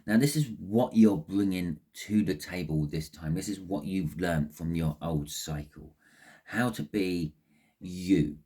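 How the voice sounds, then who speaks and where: A man's voice: sits low, unhurried, warm tone, slightly rough. A man with a phlegmy throat giving a casual, rambling tarot reading, often repeating words and restarting sentences. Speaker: tarot reader.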